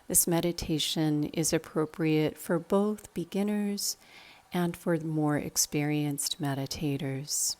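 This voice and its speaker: A woman with a slightly low voice guides a meditation at a very slow pace. Her delivery is calm and measured, giving gentle step-by-step instruction.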